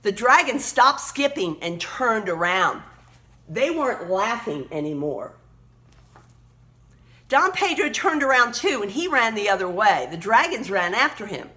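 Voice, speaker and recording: A woman reading a children's story aloud expressively, emphatically pausing to point out words and pictures. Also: mid-range voice, big pitch swings, sharp tone.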